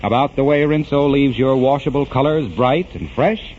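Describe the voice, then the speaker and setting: A man's voice: medium pitch, moderate pace. A man with an American accent announcing a 1940s radio show in folksy, salesmanlike patter. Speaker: announcer and sponsor spokesman. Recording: muffled, noisy vintage radio recording.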